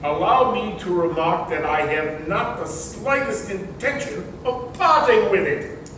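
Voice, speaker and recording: Guy acting stubborn formal rich uncle, real slow talker, stage comedy, distant and very echoey. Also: high voice, fairly bright tone. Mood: affronted. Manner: formal, deliberate phrasing. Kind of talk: staged readers theater comedy. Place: large room or hall, distant microphone. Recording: echoey room from far off, noisy.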